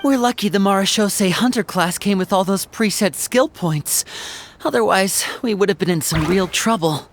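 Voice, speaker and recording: A woman voicing a calm, considerate, gently amused adult in a fantasy game, polite and thoughtful. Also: mid-range voice, normal speed, slight background noise, lightly acted. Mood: relieved. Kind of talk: video game character acting.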